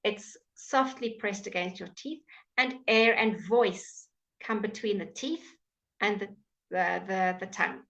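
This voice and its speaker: A woman's voice: mid-range voice, creaky, fairly bright tone. A woman teaching English pronunciation in an online lesson, exaggerating sounds so they can be heard. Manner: slow, careful, exaggerated articulation.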